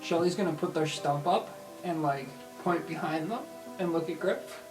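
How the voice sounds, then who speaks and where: Higher-pitched man, casual tabletop player. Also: moderate pace, rough recording. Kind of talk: casual improvised description of character actions. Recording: background music under voice.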